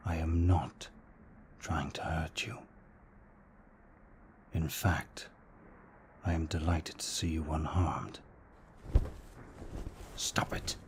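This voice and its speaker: A man playing a courteous, protective young vampire aristocrat in an ASMR audio roleplay, speaking formally in a hushed, measured way. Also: sits low, slight background noise. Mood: urgent, soothing. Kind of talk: intimate ASMR roleplay acting.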